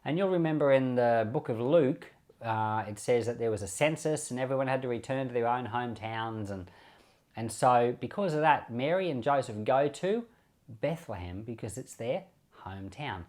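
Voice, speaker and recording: A man's voice: medium pitch, animated, normal speed, a little mellow. An Australian man speaking as a pastor, explaining scripture in an informal, conversational preaching style, often repeating short phrases. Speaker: pastor and Bible podcast host. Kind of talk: informal Bible commentary and preaching.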